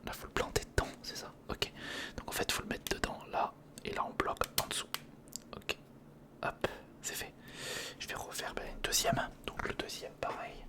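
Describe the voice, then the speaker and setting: A man giving whispered ASMR commentary on a hands-on build, murmuring to himself as he thinks and chatting informally to the viewer. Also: normal speed. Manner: murmurs to himself while thinking through steps; informal, chummy address to the viewer. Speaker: ASMR video host.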